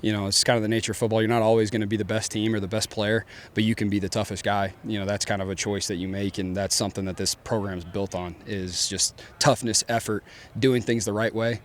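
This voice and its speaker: A man from East Texas with a fairly low voice and a strong East Texas accent. He rattles along quickly in an easygoing, upbeat way, full of fillers and restarts, answering press questions as a football coach. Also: level tone, a little hiss or hum. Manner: casual, filler-heavy, upbeat.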